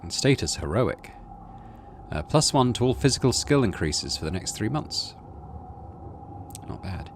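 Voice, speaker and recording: A man's voice: fairly low pitch, big pitch swings, unhurried, long pauses, slight background noise. A middle-aged man hosting a gaming video, reading story text aloud and adding casual commentary. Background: game music.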